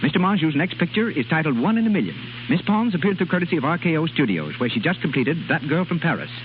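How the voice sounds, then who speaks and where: Male American announcer, fast and lively formal 1930s delivery, thin vintage radio sound. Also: a little high. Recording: tinny, hissy 1930s radio recording.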